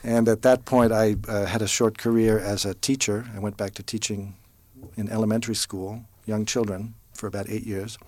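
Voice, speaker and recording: A middle-aged man who works as a translator, giving thoughtful interview answers and pausing now and then to find a word. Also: fairly low pitch, level tone, darkish tone, no room sound. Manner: thoughtful, pausing to search for words. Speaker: translator, former diplomatic interpreter.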